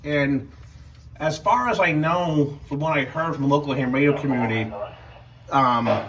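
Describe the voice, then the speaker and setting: Rambling man with a slightly higher-pitched voice. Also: moderate pace, muffled recording, crowd noise. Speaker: emergency medical worker and radio hobbyist. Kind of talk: casual equipment walkthrough vlog. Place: indoors in a house. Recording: home recording with faint background noise.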